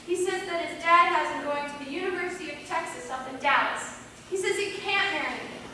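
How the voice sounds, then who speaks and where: Captured from a distance in a very reverberant hall, a woman acts a female character in an amateur stage play. The delivery is theatrical and very high in pitch, with some noise on the recording. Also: fairly quick, pushed and bright. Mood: upset. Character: teenage girl reading a diary aloud, and her stern, wounded aunt. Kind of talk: amateur one-act stage play acting.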